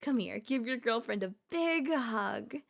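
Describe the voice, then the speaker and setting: A woman's voice: on the high side, lively intonation, unhurried, gravelly, exaggerated voice. A woman playing a doting, possessive girlfriend in an ASMR audio roleplay, speaking in flowery, coaxing endearments with a teasing elegance. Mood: adoring. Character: doting, possessive yandere girlfriend, sweetly insistent and theatrical.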